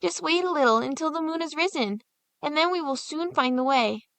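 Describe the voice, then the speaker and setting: A woman voicing a brave young boy, a gentle older brother who speaks in a soft, earnest, soothing way. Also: high voice, brisk, dry recording, pitched above the performer's own voice. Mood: gentle, reassuring.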